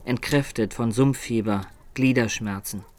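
A man's voice: mid-range voice, measured pace, darkish tone, a little hiss or hum, lightly acted. A man acting a medieval bishop's scribe and pupil in a radio play. He speaks in a mid-range voice, with an earnest, curious and literary manner. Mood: reflective. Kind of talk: radio play narration and dialogue.

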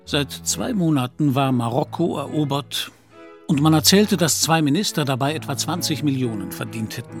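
A man narrating a period novel in a radio play, as a measured literary storyteller. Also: medium pitch, close to an everyday voice.

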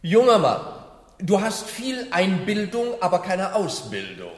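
An actor playing a stern, condescending professor in a satirical radio play, lecturing and mocking. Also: male voice, high-pitched, huge pitch swings, measured pace, full character voice. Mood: scolding. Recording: echoey hall, long reverb.

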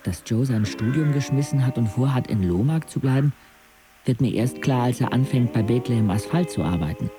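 An actor playing a wry, observant young man who narrates his own life in a measured, reflective way in a radio drama. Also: medium pitch, animated, music in the background, natural voice.

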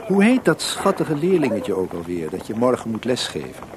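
A man's voice: mid-range voice, sing-song, moderate pace, background noise, natural voice. A man acting in a Dutch radio play as a jovial, cheeky clarinettist, bantering and needling his friend. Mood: teasing.

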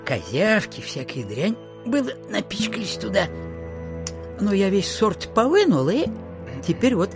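An actor playing a miserly, suspicious old landowner in an audio drama, muttering fussily and breaking into grumbles and exclamations. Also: male voice, high voice, very animated, a little slow, over music, full character voice. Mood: fussy, grumbling. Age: older. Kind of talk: theatrical audio drama acting.

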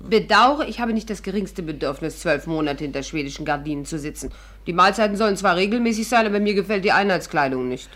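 Pert, witty stage actress. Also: female voice, lowish voice, expressive, quick pace, fairly bright tone. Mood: indignant, refusing. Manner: pert, dryly ironic. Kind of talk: 1950s German radio play acting.

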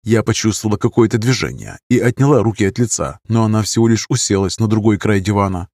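A man's voice: lowish voice, darkish tone, no echo, studio quality. A man reading scripted, dramatic narration as an audiobook narrator.

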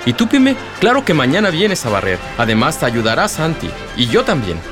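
A kindly, teasing priest in a radio drama. His Mexican-accented voice sits a little high and moves very widely in pitch, over clear background music. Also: wildly swooping pitch, brisk. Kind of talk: radio soap acting.

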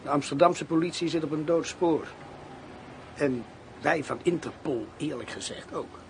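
An actor playing a businesslike Interpol inspector in a Dutch radio drama, with a formal, matter-of-fact manner. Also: male voice, fairly high pitch, normal speed, gravelly. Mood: sober, frank. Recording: noisy radio play recording.

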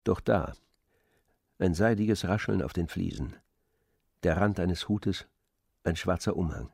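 A man narrating a literary radio drama in a measured, composed, knowing manner. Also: low-pitched, vocal fry, darkish tone, husky, dry recording, studio quality, heavily acted.

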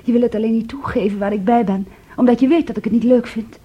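A woman's voice: on the high side, fairly even intonation, fast talker, husky, highs cut off. An actress playing a knowing, possessive and reproachful young woman, speaking in a pointed, intimate way in a Dutch radio play. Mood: quietly reproachful.

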